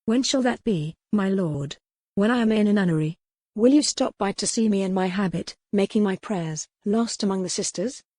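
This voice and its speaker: A woman's voice: medium pitch, moderate pace, dark tone, no room sound, clean studio sound, close to an everyday voice. A woman voicing a proud, defiant captive noblewoman in a historical romance audiobook, speaking in a formal, pointed way. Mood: angry, bitter.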